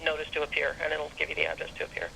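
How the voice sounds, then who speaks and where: A man's voice: fairly high pitch, completely flat delivery, racing, gravelly, crowd noise. A man working as an administrative clerk, carefully explaining procedure on a telephone call, correcting his terms as he goes. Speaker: parking citation administration clerk. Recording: bright telephone call with faint noise.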